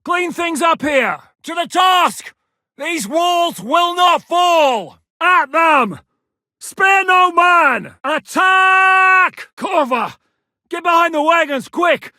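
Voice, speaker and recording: An actor playing a battle-hardened medieval rebel commander in a video game, gruff and dry-humoured, with a blunt, commanding, sardonic manner. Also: male voice, sits high, bright, forceful tone, no room sound, heavily acted. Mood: urgent battle shouting. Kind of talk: dramatic video game character acting.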